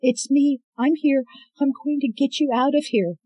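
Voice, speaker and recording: A reader voicing a desperate young woman who speaks urgently and tearfully, far higher than the reader's own narration. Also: steady tone, normal speed, warm tone, dry recording, highs cut off, natural voice. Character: desperate young woman rescuing her grandmother.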